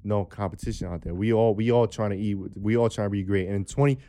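Twenties male guest, warm mellow tone, earnest and sincere. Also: on the low side, steady tone, fast talker. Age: 20s. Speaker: podcast guest. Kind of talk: casual podcast chat.

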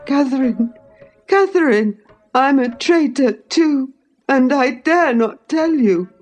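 Lady doing a scared sickly boy, whimpery and pleading, high voice, classic audiobook. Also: slow pace, a little mellow, soft background music. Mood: terrified, sobbing. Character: frightened, self-pitying sickly boy, cowardly and pleading.

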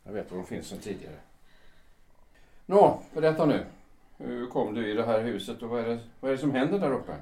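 Actor as a formal middle-aged lawyer, echoey room. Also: male voice, mid-range voice, lively intonation, pauses often, warm tone, close to an everyday voice. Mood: firm, probing. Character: composed, paternal, insistent lawyer of 58, protective brother. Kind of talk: period radio drama acting in Swedish.

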